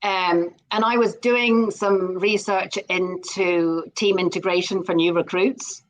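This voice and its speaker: A woman's voice: mid-range voice, fairly even intonation, slow pace, poor audio. A middle-aged Scottish woman speaking as a guest in a live interview, enthusiastic and often repeating words as she starts a sentence. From Scotland.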